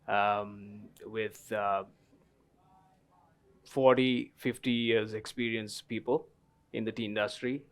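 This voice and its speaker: A man from Sri Lanka in his thirties speaks at a live festival panel. His voice sits low and comes across smooth and resonant. He tells his story in an easy, rambling way, with plenty of ums along the way. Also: fairly bright tone. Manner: storytelling, many fillers, laughs at own story. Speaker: tea company guest. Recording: live festival stage talk.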